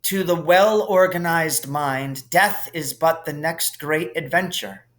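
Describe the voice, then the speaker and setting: A man's voice: fairly high pitch, takes it slowly, a bit bright. A man voicing an earnest, curious schoolboy hero in a thoughtful way.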